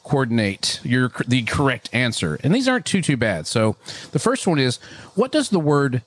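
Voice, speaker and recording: A man's voice: mid-range voice, wildly swooping pitch, normal speed. A man hosting a casual podcast, joking and teasing with his co-host. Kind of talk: casual chat.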